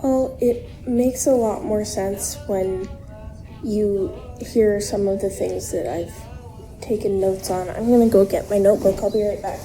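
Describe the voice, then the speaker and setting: An actress as a fearful, protective young woman in an audio drama. Her voice is mellow and subdued, and she talks slowly and hesitantly, as if guarding what she says. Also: medium pitch, fairly even intonation, faint music behind, natural voice. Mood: guarded, hesitant. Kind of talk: naturalistic fiction podcast acting.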